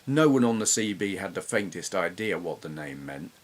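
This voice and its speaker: A middle-aged man with an English accent giving casual, reflective, slightly halting commentary as he reminisces. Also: sits low, sing-song. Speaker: retro gaming YouTuber.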